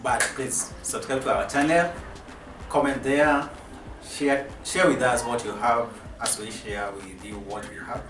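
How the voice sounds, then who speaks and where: A young Kenyan man, not a native English speaker, co-hosting a casual chat, playful and laughing, with halting phrasing. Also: mid-range voice, steady tone. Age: young adult. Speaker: co-host. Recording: echoey room, mic at a distance, clear music behind.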